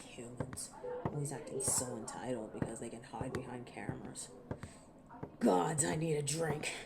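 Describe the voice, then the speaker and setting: A woman's voice: extremely low pitch, fairly even intonation, moderate pace, vocal fry, very noisy. A woman playing a defiant, hot-tempered, sarcastic female werewolf who protects her turf in an audio drama. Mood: weary, irritated. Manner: sardonic, taunting. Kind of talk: dramatic audio roleplay acting.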